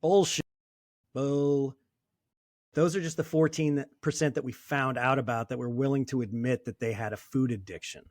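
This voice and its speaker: A man's voice: mid-range voice, steady tone, moderate pace, no room sound, studio quality. A man in his fifties, a sober coach speaking as a guest in a casual interview, talking bluntly and emphatically. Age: 50s. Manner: emphatic and blunt, with occasional swearing.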